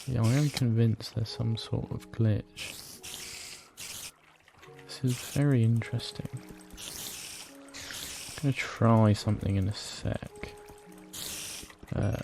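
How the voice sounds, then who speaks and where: A man with a croaky, weak voice, rambling hesitantly through casual gameplay commentary with many fillers and frequent throat clearing. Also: mid-range voice, steady tone, takes it slowly, long pauses, over music. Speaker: let's play gamer. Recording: noisy home recording.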